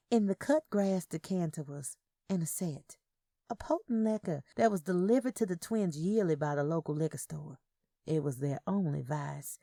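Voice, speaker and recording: A woman narrates a short story in the first person. Her voice sits fairly low with a creaky edge, and her intonation moves widely. The recording is close and dry. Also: dark tone, no room sound. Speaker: narrator reading short fiction. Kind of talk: first-person literary audio fiction narration.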